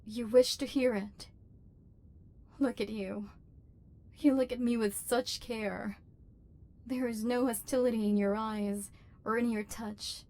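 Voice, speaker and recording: A woman's voice: medium pitch, darkish tone. A woman voicing an embittered, lonely robot overlord in an ASMR roleplay, with formal, precise diction and hurt tenderness underneath. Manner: formal, precise, stilted diction with wounded feeling. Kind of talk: scripted ASMR roleplay acting.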